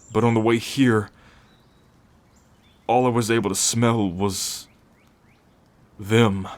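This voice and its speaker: A man's voice: medium pitch, close to an everyday voice. A man playing an anxious, confused, newly bitten werewolf held in a cage, speaking hesitantly in an ASMR roleplay. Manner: hesitant, halting.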